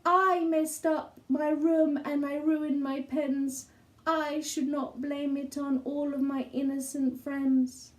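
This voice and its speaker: Woman voicing a high, pleading, apologetic small boy. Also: level tone, slow. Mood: sheepish, sorry. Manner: pleading, earnest. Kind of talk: children's storybook character voice.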